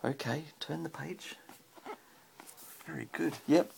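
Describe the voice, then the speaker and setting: A man's voice: on the high side, slow pace, creaky, a little mellow, rough recording. A man reading a children's story aloud to a child as a father, chatting in between with encouraging questions, recorded on a handheld phone. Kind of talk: read-aloud storytelling with casual chat to a child. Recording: faint background noise, home phone recording.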